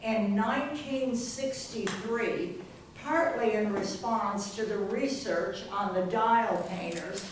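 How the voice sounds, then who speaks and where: A woman narrates a readers theater script formally and slowly. Her mid-pitched voice comes through a very reverberant room, with faint noise behind it. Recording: distant mic, very echoey room, faint noise.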